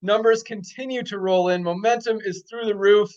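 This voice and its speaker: A man's voice: high-pitched, steady tone, normal speed, darkish tone, muffled recording. A man hosting a company call, enthusiastically reporting numbers and repeating them for emphasis. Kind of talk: upbeat company update on a video call.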